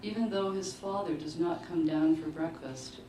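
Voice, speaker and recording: A woman reads short fiction aloud in a low voice, taking her time over each phrase. She is at a live launch, picked up from a distance in an echoing room with clear background noise. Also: moderate pace, mellow. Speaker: author reading at a book launch. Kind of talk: literary reading of short fiction. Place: live book launch in an indoor venue. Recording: roomy, distant mic, clearly noisy live venue.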